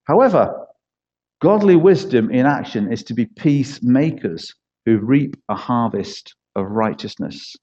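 A middle-aged man with a Manchester accent preaches a sermon, chatty and self-deprecating, tossing in jokes and rhetorical questions. His voice is dark and mellow. The recording is dull and lo-fi. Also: medium pitch, animated, measured pace. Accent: Mancunian. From East Manchester, England. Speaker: church leader preaching as a guest.

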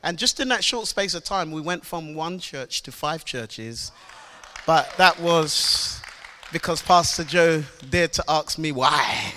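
A man in his fifties giving a guest sermon, his voice a touch higher than most men's and notably bright and forceful. He swings from fervent, shouted praise to a chatty, laughing storytelling tone. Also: animated, slow pace, a little hiss or hum. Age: 50s. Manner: loud fervent worship calls, then chatty storytelling with laughs. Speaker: guest pastor. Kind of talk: sermon with worship and personal story.

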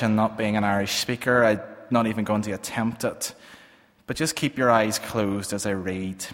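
A man leads a church gathering in prayer, speaking very slowly and gently with a voice of middling pitch. Every pause rings on in a long hall reverb, as in a large worship space. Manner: slow, gentle, measured. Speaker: worship leader. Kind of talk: leading prayer and reading poems aloud.

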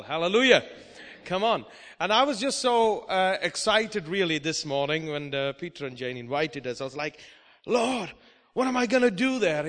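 A man from India preaching a lively sermon, peppering his talk with amens and hallelujahs and laughing often. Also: high-pitched. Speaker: guest preacher. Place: church hall. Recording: live in a church with long reverb.

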